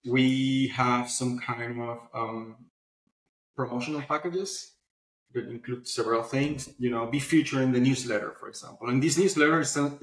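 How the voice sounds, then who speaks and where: A man's voice: medium pitch, a bit bright. Male interview guest, informal explainer, mid-range voice, echoey room sound over a video call. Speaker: founder of a publishing platform, podcast guest. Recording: roomy echo, online video call.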